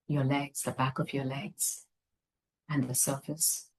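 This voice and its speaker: A woman leading a guided meditation, speaking gently in unhurried phrases with long pauses. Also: rumbling low, little pitch movement, a little hiss or hum, low-quality recording. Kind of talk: guided meditation, slow soothing instruction.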